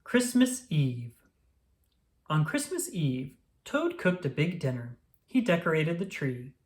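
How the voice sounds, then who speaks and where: Expressive, animated children's storybook narrator. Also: medium pitch, takes it slowly.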